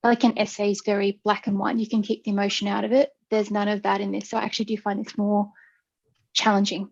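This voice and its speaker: A woman student shares a candid, reflective view in an online class. She speaks in a flat, even tone. The video call audio sounds dull and lo-fi. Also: mid-range voice, fast talker, gravelly.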